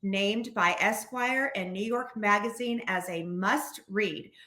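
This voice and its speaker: A woman's voice: mid-range voice, takes it slowly, sharp tone. An American woman hosting a casual podcast, chatty and effusive, recorded with a roomy echo. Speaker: podcast host.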